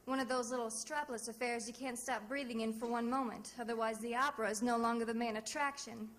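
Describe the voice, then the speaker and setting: A woman playing a sassy, quick-witted private detective in 1940s radio drama style, narrating with wry, breezy wisecracks. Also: on the high side, steady tone, lightly acted. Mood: wry narration. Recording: noisy old radio recording.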